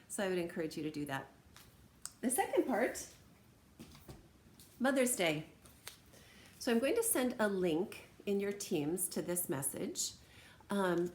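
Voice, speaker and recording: An American woman with a slightly low voice talks to students like a teacher. Her delivery is conversational and encouraging, as in an informal lesson or demonstration. There is some faint noise behind her. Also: darkish tone. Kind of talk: informal read-aloud and craft demonstration for students.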